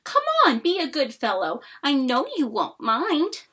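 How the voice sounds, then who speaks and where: A lazy, self-pitying storybook bird voiced by a woman reader. The voice is high and swoops widely in a coaxing sing-song. It is bright and theatrical, made for young children. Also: very animated, measured pace, slightly rough, exaggerated voice. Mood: sweetly coaxing. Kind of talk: expressive children's storybook read-aloud.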